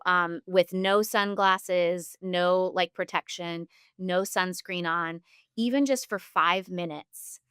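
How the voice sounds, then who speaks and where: A woman with a fairly low, smooth and clear voice gives earnest explanations as a guest practitioner in an interview. She is instructive and talks at length, and the sound is close and dry. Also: a bit bright, dry recording. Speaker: functional health practitioner, podcast guest.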